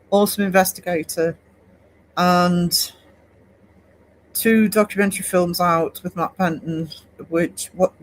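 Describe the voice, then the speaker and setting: A man's voice: high-pitched, a little mellow, rough recording. A man hosting a casual livestream, chatting and rambling to his viewers with lots of fillers and restarts. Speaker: art livestream host. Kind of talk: casual chat reading live comments.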